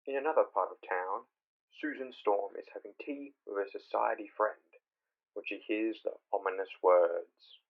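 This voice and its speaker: A man narrates in a theatrical comic-book style. His voice is fairly low, and the recording is narrow and tinny, like a telephone line. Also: moderate pace. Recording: thin phone-like narrow band.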